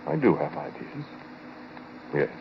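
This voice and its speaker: An actor playing an uneasy scientist recounting his ordeal in a halting, confiding 1940s radio drama monologue. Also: fairly low pitch, big pitch swings, vocal fry, noisy background, heavily acted. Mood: haunted, uneasy. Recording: muffled 1940s radio recording.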